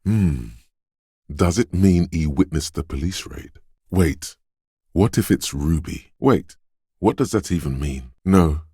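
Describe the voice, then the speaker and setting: A man voicing a detective's quick-witted inner voice in a video game, narrating in a measured, deliberate way with short, wry, alert asides. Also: deep voice, lively intonation, mellow, slightly rough, dry recording, studio quality, full character voice. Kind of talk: scripted game narration, second-person inner voice.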